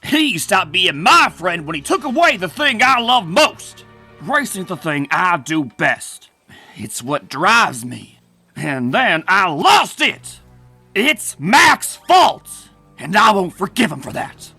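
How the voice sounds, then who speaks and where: A bitter, proud male pony racer from a cartoon, voiced by a man. He is theatrical and folksy, with highish, very lively pitch and a creaky edge. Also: slow, faint music behind, full character voice. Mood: bitter, angry. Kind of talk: comic dub character acting.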